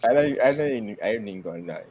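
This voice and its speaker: A man's voice: medium pitch, expressive, very fast, a little mellow, slightly rough, dull audio. A man co-hosting a fantasy football podcast, laughing at himself and repeating his words as he talks. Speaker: podcast co-host. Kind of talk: casual chat about fantasy football.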